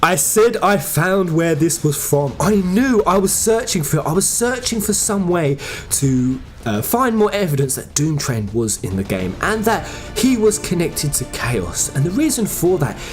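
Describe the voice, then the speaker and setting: An animated host delivers fan theory commentary with music playing behind. The mid-range voice swings widely in pitch. The delivery is informal, emphatic and full of exclamation. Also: a little slow. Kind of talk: excited fan theory commentary over game footage.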